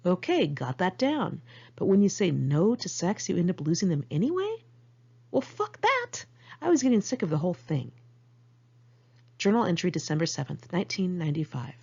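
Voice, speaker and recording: A woman's voice: lowish voice, huge pitch swings, normal speed, gravelly, warm tone, breathy edge, lo-fi sound. An American woman reading her own memoir aloud in a candid, conversational, sardonic way. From United States, Los Angeles area. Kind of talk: first-person memoir narration, read aloud in one take.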